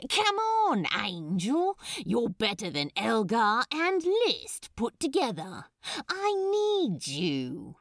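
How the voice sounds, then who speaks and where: Woman doing a cocky demon guy for a storybook, pushy and grinning, swoopy pitch, dry recording. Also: wildly swooping pitch, takes it slowly, a bit bright, dry recording, heavily acted. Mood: insistent, coaxing. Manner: bold, insistent, grinning. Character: exuberant, cheeky male demon musician, shouting over music.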